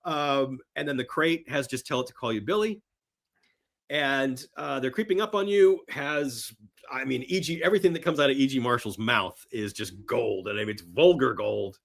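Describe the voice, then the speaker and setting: A man as a podcast guest chatting casually and enthusiastically about films, telling stories in an animated, emphatic way. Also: mid-range voice, normal speed, gravelly. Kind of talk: enthusiastic casual film chat.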